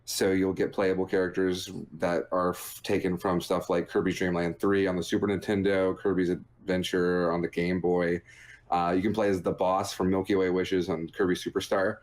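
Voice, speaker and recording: Low voiced dude, rambly and jokey, just chatting like a podcast cohost. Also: male voice, little pitch movement, normal speed, darkish tone. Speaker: podcast co-host reviewing video games. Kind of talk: casual chat.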